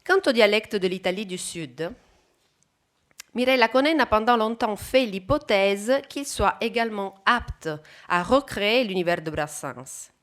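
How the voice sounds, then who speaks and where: A woman from Italy, giving a careful, measured talk at an academic conference. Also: medium pitch, lively intonation, a bit bright. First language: Italian. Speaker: conference speaker.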